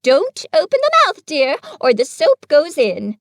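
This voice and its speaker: Woman playing a brisk motherly kangaroo. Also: high voice, wildly swooping pitch, no room sound, clean studio sound, voice pushed up, heavily acted. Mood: cheerful. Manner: cheerful, soothing and firm. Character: brisk motherly female kangaroo, cheerful and firm.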